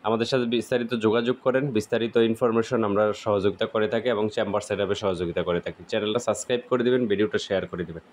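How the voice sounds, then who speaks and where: A man's voice: on the low side, fairly even intonation, fast, hardly any pauses, a little mellow, slight background noise. A Bangladeshi man giving an informal product demonstration as a seller, explaining step by step and repeating key points.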